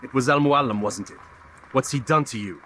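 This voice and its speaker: A man's voice: medium pitch, brisk, pushed and bright, close to an everyday voice. A man playing a stoic, principled young assassin in a video game cutscene, speaking tersely and earnestly. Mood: wary, grave. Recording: faint background noise.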